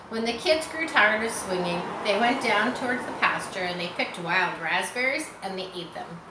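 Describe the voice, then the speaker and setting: Female storybook reader: bright, mid-pitched, expressive, informal, in a roomy-sounding space. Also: slight background noise. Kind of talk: expressive read-aloud narration for children with casual asides.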